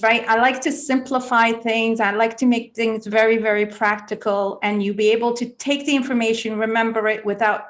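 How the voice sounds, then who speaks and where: A woman's voice: fairly high pitch, a bit bright. A woman from Egypt who grew up in the US, hosting a casual health livestream in an upbeat, chatty way with many fillers. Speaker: livestream host, health speaker. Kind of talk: casual livestream talk, reading out chat names.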